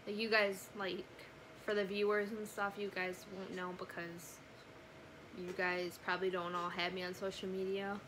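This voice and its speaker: Young girl vlogger, adult, bright voice, doesnt swing much in pitch, just chatting, says like a lot. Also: level tone, moderate pace, slight background noise, rough recording. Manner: conversational, frequent "like" and "you know". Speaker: family vlogger. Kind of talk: casual storytelling to camera.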